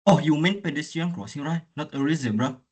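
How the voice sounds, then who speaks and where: A man's voice: a little high, brisk, a little mellow, husky. A young man playing a questioning Taiwanese student in a classroom role-play. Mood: puzzled. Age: young adult.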